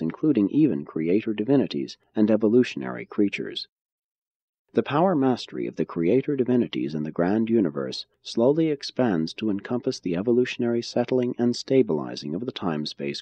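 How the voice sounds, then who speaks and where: A man narrating a religious audiobook in a measured, solemn way with deliberate phrasing. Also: lowish voice, dark tone. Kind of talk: scripted narration, religious text reading. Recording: dull, muffled audio.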